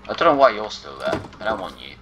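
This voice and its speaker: A deep-voiced man narrating his own gameplay, grumbling to himself as he searches. He mutters and talks back at things in the game. The recording is noisy, with a steady hiss behind his voice. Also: fast. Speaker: gameplay commentator. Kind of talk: casual gameplay commentary.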